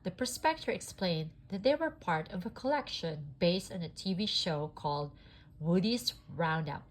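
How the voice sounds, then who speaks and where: A woman reading a children's story aloud in a deliberate, expressive narrating style. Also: fairly low pitch. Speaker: storybook reader.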